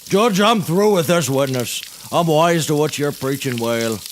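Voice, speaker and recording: A man's voice: fairly high pitch, lively intonation, moderate pace, slight background noise, close to an everyday voice. A man playing a pompous, folksy, bumbling defence lawyer with theatrical, rambling courtroom patter in a scripted comedy. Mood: indignant.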